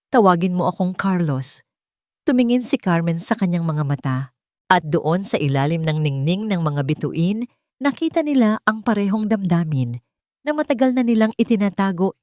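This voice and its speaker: A woman's voice: medium pitch, a little mellow, no room sound, studio quality. A woman with a Filipino accent narrating a scripted dramatic story.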